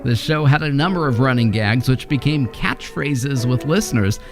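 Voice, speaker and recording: A man's voice: medium pitch, lively intonation, moderate pace. Man narrating over prominent music. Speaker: radio history presenter. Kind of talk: scripted narration.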